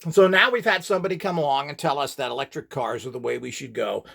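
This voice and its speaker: A man's voice: medium pitch, quick pace, vocal fry, fairly bright tone. A man giving an informal, rambling instructional talk as host, in an opinionated, dismissive manner.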